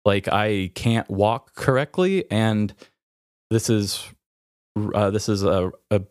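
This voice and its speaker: Low-voiced man, halting podcast talk. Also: a little mellow, smooth, no echo, studio quality. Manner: hesitant, halting, self-interrupting. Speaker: podcast host. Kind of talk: candid personal podcast talk.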